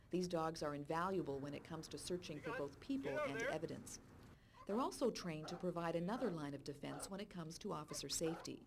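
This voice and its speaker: Woman narrator, lowish voice, calm scripted read, faint hum behind. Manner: clear, measured informational delivery.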